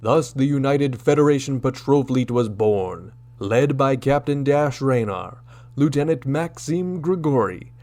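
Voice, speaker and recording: A man's voice: mid-range voice, expressive, unhurried, close to an everyday voice. A man playing a portentous announcer narrating an old-time space adventure radio serial. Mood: grand, rousing. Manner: dramatic, portentous delivery. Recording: loud music under the voice. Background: music.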